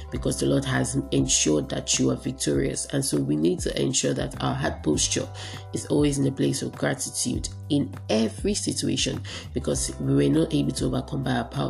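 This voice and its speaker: A woman hosting a radio teaching broadcast, her voice raspy and hoarse from a cold, speaking earnestly and encouragingly. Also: extremely low pitch, darkish tone. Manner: earnest, encouraging, repeats herself for emphasis. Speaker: radio preacher and host.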